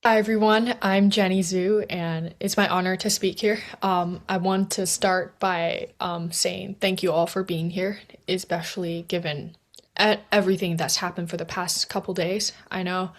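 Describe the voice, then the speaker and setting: A young woman from China, a native Chinese speaker, giving a heartfelt address with many hesitant pauses. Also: fairly low pitch, steady tone. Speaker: student speaker.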